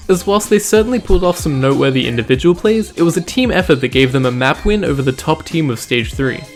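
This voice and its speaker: A man hosting a highlight show, narrating a scripted esports recap in measured, formal, wordy phrasing over background music.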